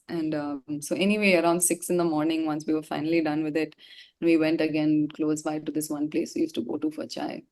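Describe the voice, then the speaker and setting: A woman with a fairly low voice and an Indian English accent. She talks candidly in an interview, in an informal, slangy way. Her delivery is expressive and reflective. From Gangtok, India. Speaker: singer-songwriter being interviewed. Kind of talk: casual, candid interview talk.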